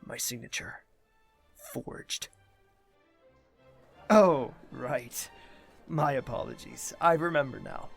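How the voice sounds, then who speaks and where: A man acting a formal, reserved royal host in an audio drama, speaking in a measured way. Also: medium pitch, vocal fry, a bit bright. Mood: courteous, guarded. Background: music.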